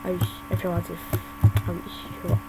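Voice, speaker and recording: A young woman giving casual, hesitant gameplay commentary at her home computer, full of pauses and filler sounds. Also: lowish voice, completely flat delivery. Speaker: gaming YouTuber. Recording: hum and whirring computer fan noise.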